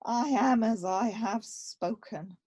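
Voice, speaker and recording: A woman playing a grave, self-accusing young exiled prince in a Shakespearean radio play, delivering verse deliberately with weighty pauses. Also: a little high, a little mellow, breathy edge. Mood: bitter, confessing.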